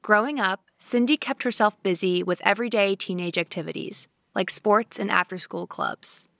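A woman reporter reading a scripted narration in a calm, even storytelling manner. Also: medium pitch, moderate pace, creaky, no echo.

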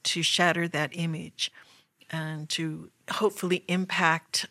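Slow-spoken, flat-toned woman artist. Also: low voice, no echo. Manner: slow, thoughtful, pausing often. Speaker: artist interviewee. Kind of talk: reflective interview talk.

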